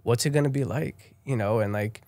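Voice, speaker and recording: Brazilian-born young man, guest voice, mid-pitched, loose and rambling, close dry recording. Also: brisk, darkish tone, no room sound. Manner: rambling, many fillers and restarts. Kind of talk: casual interview chat.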